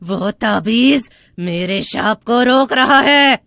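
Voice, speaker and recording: Casting: female actor for vengeful dancing witch ghost, gravelly, slow and commanding, theatrical horror cartoon delivery. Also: fairly high pitch, expressive, a bit bright, dry recording, clean studio sound, heavily acted. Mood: aggrieved. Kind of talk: dramatic horror cartoon story acting.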